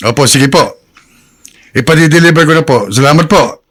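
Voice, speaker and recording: A man's voice: fairly high pitch, normal speed, fairly bright tone. A man playing a mild, practical father in a Filipino radio drama, polite and a little hesitant. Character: mild, practical father who runs a gas dealership.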